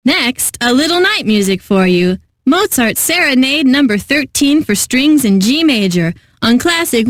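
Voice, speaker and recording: A woman's voice: sits high, a bit bright, dry recording, clean studio sound, exaggerated voice. An actress playing an eccentric, lovelorn female classical radio host in a video game, announcing with genteel poise and wistful, theatrical delivery. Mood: poised, admiring. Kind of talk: scripted radio DJ announcing with comic drama.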